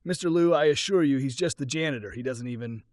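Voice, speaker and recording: A man's voice: medium pitch, quick pace, a little mellow, no room sound, studio quality, close to an everyday voice. A man narrating a story plays a nervous male startup boss with a rehearsed, placating sales manner. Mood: flustered, placating. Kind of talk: dramatic audiobook-style story acting.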